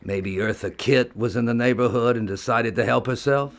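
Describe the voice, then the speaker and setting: An actor playing a sarcastic, taunting police detective in a 1950s-style radio drama. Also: male voice, mid-range voice, steady tone, moderate pace, natural voice. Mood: mocking. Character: mocking, bullying 1950s cop who loves his jokes. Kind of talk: old-time radio drama acting.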